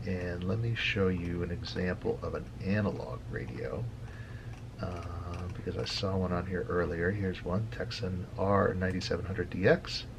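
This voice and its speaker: A man giving a casual tutorial as a hobby video host, talking haltingly with frequent hesitant pauses. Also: deep voice, dull audio, a little hiss or hum. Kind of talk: casual screen-share tutorial.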